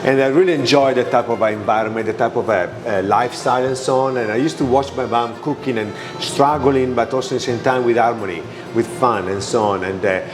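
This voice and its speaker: A man's voice: medium pitch, fairly quick, few pauses, music in the background. A man from Italy with an Italian accent, a restaurant owner answering interview questions with many fillers and restarts.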